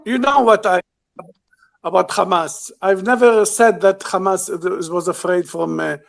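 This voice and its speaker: A man from Lebanon, speaking as a guest analyst in a casual discussion, halting and searching for words with many fillers. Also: sits high, vocal fry.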